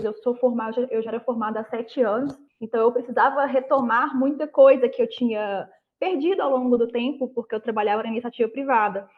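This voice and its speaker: A woman's voice: fairly high pitch, phone-quality sound. A woman in her thirties with a Brazilian accent from Minas Gerais, answering interview questions in an explanatory, conversational way. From Belo Horizonte, Brazil. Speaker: interview guest, lawyer who passed a prosecutor exam.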